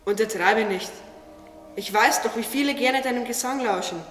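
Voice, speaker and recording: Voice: medium pitch, normal speed, fairly bright tone, lightly acted. An actor playing a naive, curious talking tree in a religious radio play, earnest and questioning. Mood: admiring. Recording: echoey, distant, long reverb, music behind.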